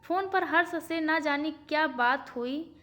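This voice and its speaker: A woman's voice: high-pitched, a little slow, a bit bright. A woman storyteller reading a scripted family moral story in audiobook narration. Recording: faint background music. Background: faint music.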